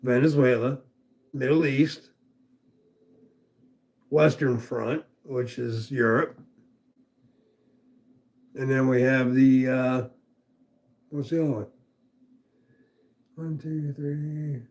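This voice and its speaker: A man's voice: medium pitch, steady tone, slow pace, pauses often, a little mellow. An older man hosting a casual livestream, rambling, folksy and profane as he reads comments. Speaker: livestream host.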